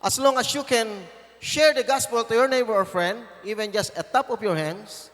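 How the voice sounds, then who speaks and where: A man's voice: very high voice, moderate pace, a bit bright, in a large hall. A man in his fifties with a Filipino accent, preaching a sermon as guest pastor, emphatic and repeating phrases for stress. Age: 50s. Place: church.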